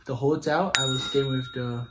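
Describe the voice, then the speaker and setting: A man with a Samoan accent chatting casually with his partner on a vlog, in halting, simple phrasing. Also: medium pitch, fairly even intonation, heavy background noise. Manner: halting, simple non-native English phrasing. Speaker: vlog co-host.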